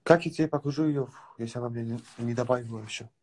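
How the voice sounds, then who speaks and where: A man in his twenties with a slight Dagestani accent, chatting casually with callers on a phone livestream from a sofa at home, relaxed and teasing. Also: medium pitch, fast. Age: 20s. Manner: relaxed, teasing, mumbles at times. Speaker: livestream host. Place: small room at home, on a sofa, indoors. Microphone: phone front camera held close.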